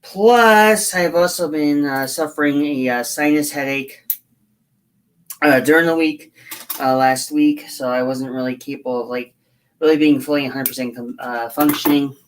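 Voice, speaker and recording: A hoarse woman chatting casually on a livestream as she builds a scale model, rambling and halting with frequent fillers. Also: deep voice, slight background noise. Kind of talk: casual livestream chat while working.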